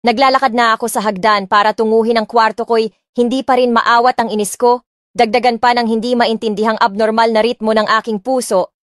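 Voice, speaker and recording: A woman's voice: on the high side, fairly quick, no echo, clean studio sound. A woman narrating a first-person romance story expressively, mixing in English phrases. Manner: expressive, switching freely between Tagalog and English phrases. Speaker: audiobook narrator. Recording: music playing underneath.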